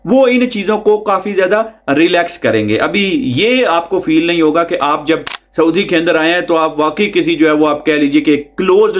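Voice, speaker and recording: A man hosting a news explainer vlog, talking conversationally to the listener and repeating phrases for emphasis. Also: on the high side. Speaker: video host.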